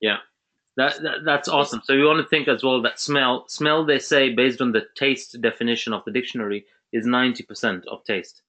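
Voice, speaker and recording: A man's voice: mid-range voice, steady tone, a little fast, bright, forceful tone. A man from Zambia hosting an online webinar, talking casually with many restarts and fillers. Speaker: webinar host.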